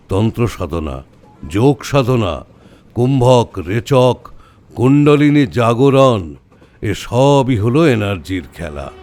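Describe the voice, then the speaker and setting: An actor playing a calm, learned tantra scholar in an audio story, explaining things in a measured, didactic way. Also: male voice, medium pitch. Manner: measured, didactic, uses rhetorical questions. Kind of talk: Bengali audio horror story acting.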